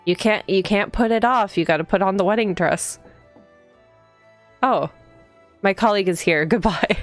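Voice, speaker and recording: A woman's voice: medium pitch, moderate pace. A woman streaming a game, chatting casually and reading aloud in a playful, teasing manner. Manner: playful, teasing, giggly asides to viewers. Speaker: streamer playing a visual novel. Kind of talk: casual live gameplay commentary and reading aloud. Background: game music.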